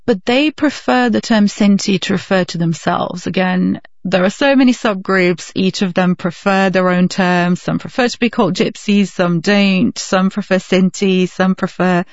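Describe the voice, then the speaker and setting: Young woman, from Romania, academic author, knowledgeable, gravelly edge, dull dry sound. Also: medium pitch, normal speed, few pauses, no room sound. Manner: knowledgeable, explains clearly, small laughs. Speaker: author and researcher, guest. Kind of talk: radio interview answers.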